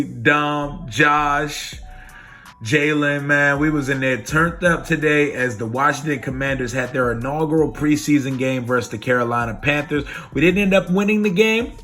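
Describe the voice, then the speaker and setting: A hoarse man ranting casually and with lots of swearing in a sports fan video recap. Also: fairly high pitch. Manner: animated, profane, opinionated. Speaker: sports vlogger.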